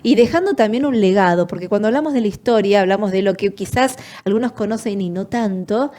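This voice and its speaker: A woman's voice: mid-range voice, brisk. A woman with an Argentine accent hosting an interview, chatting easily and affirming her guests often. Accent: Argentine Spanish. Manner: warm, conversational, frequent affirmations. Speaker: host. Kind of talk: radio or TV interview.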